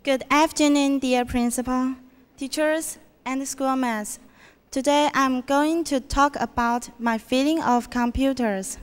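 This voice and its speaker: A woman's voice: high-pitched, fairly even intonation, takes it slowly, clear, resonant tone. A teenage girl from Taiwan with a Taiwanese accent gives an English contest speech, hesitating and restarting her sentences. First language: Mandarin Chinese. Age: teens. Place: school auditorium. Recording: reverberant hall.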